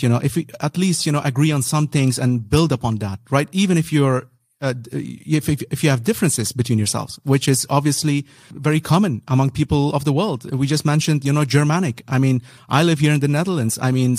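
A man's voice: medium pitch, darkish tone, no room sound, clean studio sound. Rambling man hosting a podcast. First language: Persian. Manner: rambling, many restarts and "you know" fillers. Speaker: podcast host. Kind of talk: casual interview conversation.

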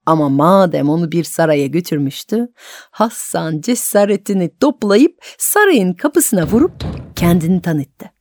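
A woman storyteller narrating a folk tale expressively for adult radio listeners, with dramatic, deliberate phrasing and pauses. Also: fairly low pitch, a little mellow, no room sound, studio quality.

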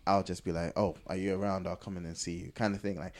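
A man's voice: deep voice, flat delivery, fast talker. A man on a podcast, chatting casually and explaining himself with everyday examples.

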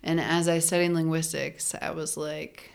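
A young woman chatting as a podcast guest, her voice sitting a little low with a gravelly, fried edge. She explains things loosely, stringing thoughts together with plenty of "like" and "um." It sounds like relaxed conversation, not a lecture. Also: normal speed. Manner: chatty, frequent "like" fillers, laughs while explaining. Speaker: linguist and anthropologist guest. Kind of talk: casual podcast interview.

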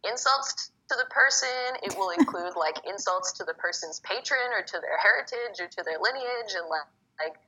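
A young woman from Egypt, a poet guest, talking casually and quickly with lots of "like" fillers. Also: mid-range voice, expressive, sharp tone. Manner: fast, chatty, many fillers like "like". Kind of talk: casual conversation. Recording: muffled phone-like call audio.

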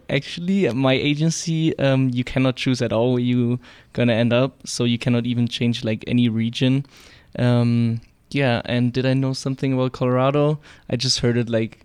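A man's voice: medium pitch, level tone, normal speed, darkish tone, clear, resonant tone, no echo. A teenage male exchange student from Germany with a German accent, casually answering interview questions. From Cologne, Germany. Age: teens.

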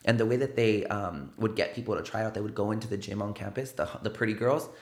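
Chatty South Texas man, lowish voice. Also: fast talker, reverberant. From South Side of San Antonio, Texas. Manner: animated, peppers stories with "right?" and "like". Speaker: guest. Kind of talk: casual storytelling interview. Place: podcast studio.

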